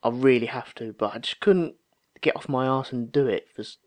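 A man's voice: medium pitch, a little fast, a little mellow. A man in his thirties chatting casually and telling anecdotes, acting out the remarks he quotes. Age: 30s. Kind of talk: casual chat, storytelling.

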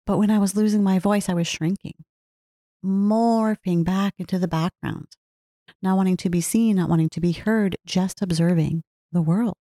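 Earnest woman with a mellow, dry voice. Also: mid-range voice, fairly even intonation, normal speed, no echo. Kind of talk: reflective personal talk.

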